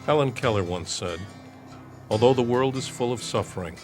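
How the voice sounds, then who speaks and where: A male actor playing a serious, reflective criminal profiler, reciting quotations in a measured TV drama voice-over. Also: mid-range voice, close to an everyday voice. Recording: music under the voice.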